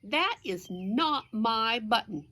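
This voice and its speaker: A woman's voice: on the high side, animated, slow pace, pushed and bright, dry recording, heavily acted. A woman voicing a grumpy, fussing male toad from a children's storybook, complaining emphatically. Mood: exasperated.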